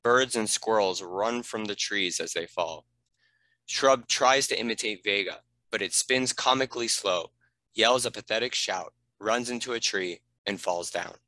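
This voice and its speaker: A man's voice: lowish voice, measured pace, pushed and bright, dry recording, low-quality recording. A man giving scripted narration, reading out the stage directions of a screenplay.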